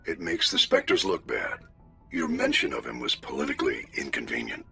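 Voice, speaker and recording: An actor playing a gruff, formal senior military commander in a video game, with a gravelly, husky voice. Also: male voice, very deep voice, expressive, normal speed, pushed and bright, faint music behind, exaggerated voice. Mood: matter-of-fact. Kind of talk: video game cutscene acting.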